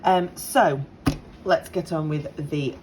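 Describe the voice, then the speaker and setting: A woman in her late thirties from the UK, slightly croaky, chatting casually and apologetically to camera with lots of ums, at home with appliances humming faintly. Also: deep voice, takes it slowly. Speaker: budgeting vlogger. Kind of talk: casual direct-to-camera update. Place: home on a Sunday morning. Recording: faint appliance hum behind. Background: dishwasher and washing machine running.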